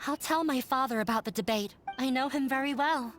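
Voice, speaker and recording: Casting: proud young woman of a theater troupe, high voice, wounded and intense, game drama with light music. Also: brisk, fairly bright tone. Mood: determined. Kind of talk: video game voice acting.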